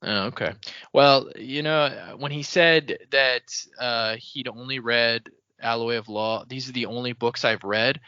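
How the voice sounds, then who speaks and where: Man, forceful bright tone, wry opinionated co-host, casual banter, dry close recording. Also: medium pitch, unhurried, clean tone, no echo, muffled recording. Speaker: podcast co-host.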